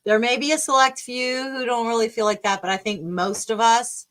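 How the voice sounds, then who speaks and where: A woman's voice: fairly high pitch, fairly even intonation, normal speed, fairly bright tone. A woman hosting a podcast, chatting casually and encouragingly with her guest. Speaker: podcast host. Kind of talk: casual conversation.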